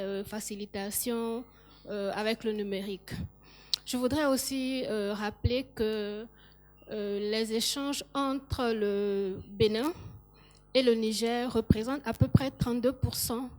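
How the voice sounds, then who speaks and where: A woman on a business panel giving measured, factual remarks on cross-border trade. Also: on the high side, a little mellow. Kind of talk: panel discussion.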